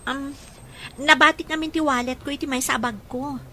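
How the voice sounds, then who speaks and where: Peevish young Filipina daughter, radio serial; high, bright voice, dry studio sound. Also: female voice, steady tone, normal speed, a little hiss or hum. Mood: flustered, evasive. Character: young woman, peevish, evasive daughter and girlfriend. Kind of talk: Ilocano radio drama acting.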